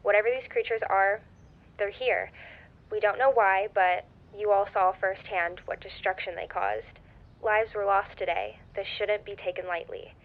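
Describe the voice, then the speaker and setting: An actress playing a shaken young livestreamer in an audio drama, speaking earnestly and casually to viewers through a phone filter. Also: female voice, mid-range voice, steady tone, moderate pace, phone-quality sound. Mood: solemn, earnest. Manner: earnest, solemn, casual wording. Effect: phone or stream filter.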